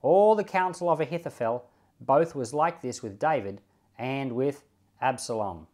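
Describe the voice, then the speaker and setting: A man reading scripture and giving informal devotional commentary in a measured, deliberate way, leaning on key words. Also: mid-range voice, animated, darkish tone. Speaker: Bible reader and pastor giving commentary.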